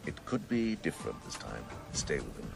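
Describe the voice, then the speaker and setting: An actor playing a sardonic, domineering senior detective in a radio drama, speaking in an arch, commanding, clipped and gravelly way. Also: male voice, extremely low pitch, very animated, normal speed, over music, exaggerated voice.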